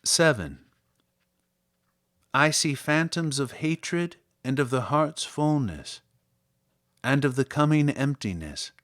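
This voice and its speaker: A man reads verse aloud at a slow, deliberate pace. His delivery is solemn and measured, shaped as a literary recitation. The voice sits at a medium male pitch in a dry, close sound with very little room. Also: animated, a bit bright, no room sound. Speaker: poetry reader.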